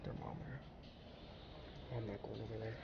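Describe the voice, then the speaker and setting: A man's voice: lowish voice, completely flat delivery, normal speed, gravelly. A man exploring a derelict building with a handheld camera, muttering casual, profane remarks as he goes. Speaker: urban explorer filming. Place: inside a derelict industrial building. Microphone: handheld or body-worn action camera. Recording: muffled, very noisy action-camera audio.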